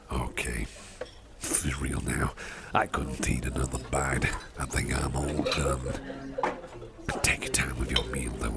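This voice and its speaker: Man acting as courteous businessman boss, ASMR roleplay, extremely low voice, slow and unhurried, breathy half-whisper, gentle and reassuring, chatter behind. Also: full character voice. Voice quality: breathy, often near-whispered, gravelly. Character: attentive, courteous businessman boss on a dinner date.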